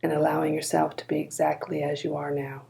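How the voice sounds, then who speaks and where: A woman leading a guided body scan meditation in a calm, gentle, unhurried manner. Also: low voice, vocal fry.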